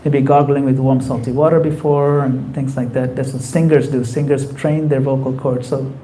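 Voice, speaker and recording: A man's voice: medium pitch, flat delivery, moderate pace, dark tone, slight background noise, rough recording. A man giving a conversational temple class, acting out quoted voices as he explains. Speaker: spiritual teacher. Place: temple hall.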